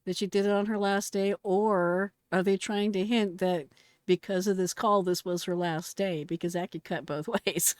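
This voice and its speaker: A woman's voice: medium pitch, fairly even intonation, normal speed, darkish tone, clear, resonant tone, dry recording, clean studio sound. A middle-aged American woman giving casual, blunt and exclamatory reaction commentary in slangy speech. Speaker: retired emergency dispatcher reacting to a call recording.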